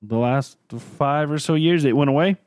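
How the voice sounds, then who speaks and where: A man's voice: on the high side, normal speed, a little mellow, clear, resonant tone, no echo, studio quality. Man with a cough, rambling. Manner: rambling, loses his train of thought. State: coughing, tickly throat. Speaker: podcast co-host. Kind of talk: casual chat.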